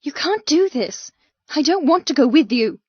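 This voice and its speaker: Casting: actress as a frightened but defiant young heroine, higher-pitched, emotional and indignant, audiobook, muffled dry sound. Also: female voice, expressive, normal speed, a little mellow, no echo. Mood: defiant fear. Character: defiant, frightened young woman being abducted. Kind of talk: audiobook character dialogue.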